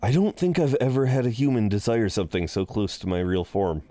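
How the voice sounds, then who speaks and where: A man playing an amused, seductive incubus in an intimate roleplay audio drama, teasing and soothing in an unhurried, halting way. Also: lowish voice, very animated, dark tone, dry recording. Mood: calm, curious. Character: amused, reassuring, seductive male incubus innkeeper.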